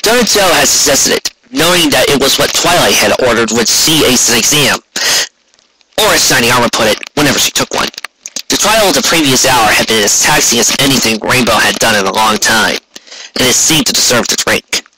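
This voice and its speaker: A man's voice: mid-range voice, lively intonation, a little fast, bright, forceful tone, slight background noise, poor audio. A man reading scripted fan fiction aloud as an amateur audiobook narrator.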